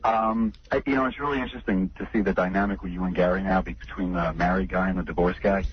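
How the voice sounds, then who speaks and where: A man with a husky voice calling a radio show on a cordless phone, chatting earnestly and hesitantly. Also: low voice, fairly even intonation, fast talker, slight background noise. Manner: hesitant, earnest, repeats words. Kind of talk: casual phone-in chat. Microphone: cordless telephone. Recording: dull cordless phone call.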